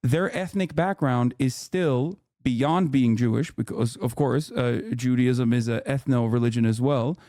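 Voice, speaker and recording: A man from Turkey, a native Turkish speaker, hosting a live debate and explaining at length in a patient, deliberate way. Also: medium pitch, mellow, no echo, clean studio sound.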